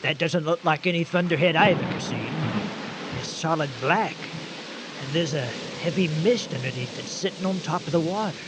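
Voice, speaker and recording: Man playing a high-voiced, folksy boat skipper. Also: moderate pace, natural voice. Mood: puzzled, reassuring. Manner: folksy, hesitant with frequent uhs. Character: genial, reassuring boat skipper, folksy and courteous. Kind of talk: old-time style radio drama acting. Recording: noisy boat engine and wind sound effects.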